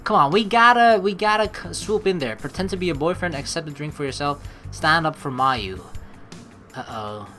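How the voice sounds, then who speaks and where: Let's play host: young woman, expressive slangy reactions, lowish voice with lively intonation, reading game text casually. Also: normal speed, soft background music.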